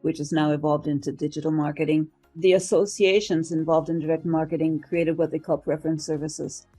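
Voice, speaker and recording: An older woman with an American accent, answering interview questions in an articulate, measured way, pausing now and then to find words. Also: sits low, warm tone. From United States. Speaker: interview guest, marketing professional and professor. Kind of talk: interview answers, career account.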